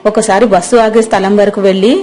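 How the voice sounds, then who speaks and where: A woman's voice: mid-range voice, level tone, quick pace, a little mellow, soft background music, close to an everyday voice. A woman voicing an anxious village wife and mistress of a household in a moral story. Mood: worried.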